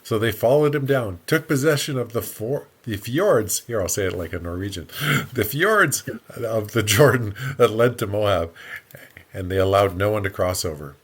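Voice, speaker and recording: Male podcast reader, slightly deep and raspy, highly expressive, delivering Bible text with jokey, informal commentary. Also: moderate pace. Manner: jokey, wry. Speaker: podcast co-host reading scripture aloud. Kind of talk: Bible reading with casual, joking asides.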